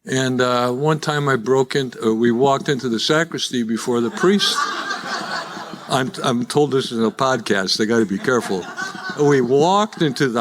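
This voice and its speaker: An elderly man with a Chicago accent speaks to a live meeting in a medium-pitched voice. He tells his own story in a folksy, conversational way, with a wry, joking touch. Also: noisy background. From Chicago, USA. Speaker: guest speaker at a recovery meeting. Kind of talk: live personal testimony with jokes. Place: live in-person meeting before an audience.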